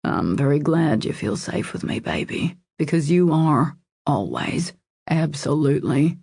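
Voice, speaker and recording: A woman voicing a devoted, protective man in an audiobook romance, in a low husky murmur, earnest, intimate and reassuring. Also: very animated, measured pace, mellow, dry recording, clean studio sound, full character voice. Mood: tender, earnest.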